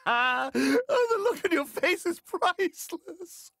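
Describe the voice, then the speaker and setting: Casting: mischievous game prankster, theatrical, high, drawn-out and wildly animated. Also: female voice, no echo, studio quality, full character voice. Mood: gleeful teasing.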